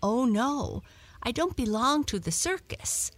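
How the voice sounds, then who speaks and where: A woman's voice: on the high side, sing-song, slow pace, no room sound, faint music behind, pitched above the performer's own voice, heavily acted. Woman voicing a timid, lilting little lost cat. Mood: anxious. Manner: polite, hesitant, pleading. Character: lost, timid, tired young female runaway cat. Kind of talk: children's storybook character voice.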